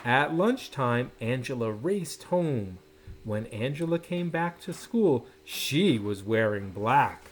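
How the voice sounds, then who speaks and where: A man reading a children's storybook aloud in an expressive, dramatic way, drawing out words for effect. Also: mid-range voice, a little hiss or hum. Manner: animated, dramatic pauses and drawn-out words. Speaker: storybook reader. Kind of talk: expressive children's picture book read-aloud.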